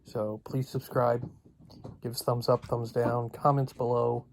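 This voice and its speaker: A man giving a casual, unscripted vlog update in a halting, rambling way with long pauses. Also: medium pitch, level tone, vocal fry, slight background noise. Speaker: video blogger.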